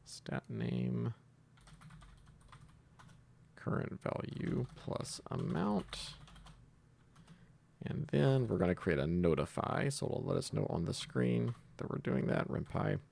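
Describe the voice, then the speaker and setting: A man's voice: medium pitch, very animated, takes it slowly, gravelly, pauses often, a little mellow. A man giving an informal coding tutorial, explaining step by step and thinking aloud as he types. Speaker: programming tutorial host.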